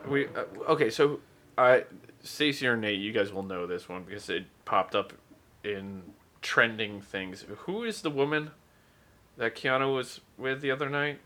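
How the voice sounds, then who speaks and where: Bright-voiced man with a Canadian-sounding accent. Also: medium pitch, expressive, unhurried, a little hiss or hum. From US Mid-Atlantic and San Diego. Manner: curious, asks the others questions. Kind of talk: casual group chat.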